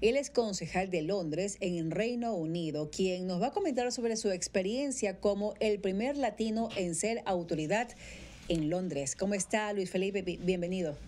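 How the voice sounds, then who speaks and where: A woman's voice: medium pitch, normal speed, dark tone, a little hiss or hum. A middle-aged woman conducting a broadcast news interview on a studio mic. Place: radio studio. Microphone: studio mic on boom arm, headphones.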